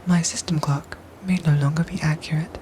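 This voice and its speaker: Woman as android soldier for ASMR drama: low, soft and whispery, formal, measured, gently protective, over noisy ambience. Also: steady tone, close to an everyday voice. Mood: formal, matter-of-fact. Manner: measured, formal, robotic phrasing with careful pauses. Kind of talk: ASMR audio roleplay acting.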